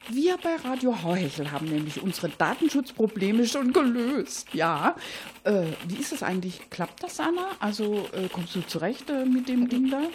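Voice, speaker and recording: A woman hosting a satirical cabaret radio show, speaking with playful irony and a husky voice. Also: fairly high pitch, moderate pace, slight background noise. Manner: playfully ironic, animated.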